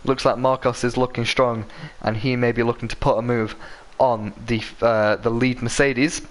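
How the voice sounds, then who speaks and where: Croaky male sim-racing commentator. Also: mid-range voice, flat delivery, moderate pace. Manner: rambling, self-correcting, with many ums and uhs. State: croaky from a sore throat. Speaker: race commentator.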